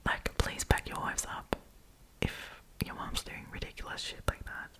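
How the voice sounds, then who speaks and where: A woman's voice: moderate pace, a bit bright. A woman whispering in a casual, chatty, giggly ASMR storytime style, as host reading and commenting.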